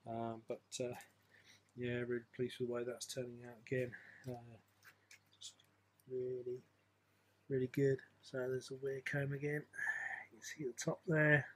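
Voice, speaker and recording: A man's voice: medium pitch, flat delivery, measured pace, pauses often, slight background noise. A man giving a casual, hesitant, unscripted hands-on update as a hobbyist vlogger, on an amateur phone video.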